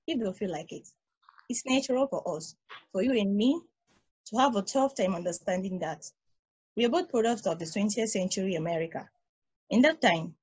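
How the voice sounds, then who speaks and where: Calm husky ageless probe, voiced by a woman. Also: mid-range voice, poor audio. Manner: calm, reasoned explaining. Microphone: video call.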